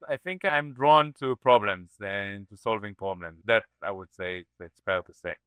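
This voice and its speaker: A man's voice: lowish voice, moderate pace, fairly bright tone, clear, resonant tone, no room sound. A man from Israel, a startup founder, answering interview questions in a thoughtful, reflective way. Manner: thoughtful, reflective, with frequent restarts. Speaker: startup CEO guest. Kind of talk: podcast interview.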